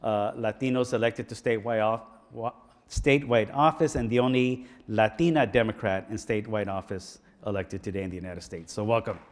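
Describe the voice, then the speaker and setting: A man hosting an event, making formal introductions with halting speech full of uhs and restarts. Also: lowish voice, fairly even intonation, slight background noise. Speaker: host of the event. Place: large conference hall. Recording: echoey hall with long reverb.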